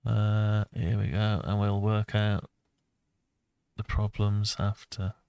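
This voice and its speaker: A man's voice: fairly low pitch, completely flat delivery, takes it slowly, gravelly, mellow, clean tone, no room sound. A man giving a casual think-aloud tutorial, muttering to himself as he talks through each step. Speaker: host of a CAD design tutorial.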